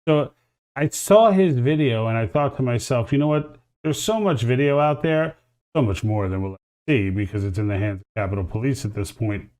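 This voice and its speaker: A man's voice: mid-range voice, darkish tone. A man hosting a podcast, giving earnest, emphatic commentary between video clips. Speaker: podcast host.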